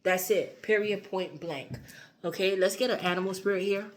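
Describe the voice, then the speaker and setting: A woman's voice: mid-range voice, moderate pace. A woman giving a loose, rambling tarot reading, her voice a little strained as she starts to lose it. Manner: loose and repetitive, fillers and asides. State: losing her voice. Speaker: tarot reader.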